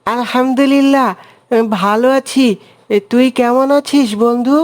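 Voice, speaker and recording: A woman's voice: high-pitched, fairly even intonation, unhurried. A woman voicing a good-hearted schoolboy in a cartoon. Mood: sympathetic. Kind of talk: Bengali cartoon dubbing.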